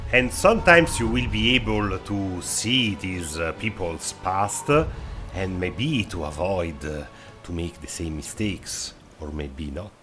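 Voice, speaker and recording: Low, unhurried non-native English-speaking man. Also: fairly bright tone. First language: not English. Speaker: video game mod reviewer. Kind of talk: semi-scripted review commentary with hesitant phrasing.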